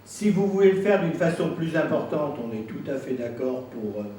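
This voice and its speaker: A man speaking as a council president, explaining himself in an insistent, deliberate way at a public meeting. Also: fairly high pitch, animated, warm tone. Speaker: president of an intercommunal council answering questions. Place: indoor council meeting room. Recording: very echoey room, distant mic, faint noise.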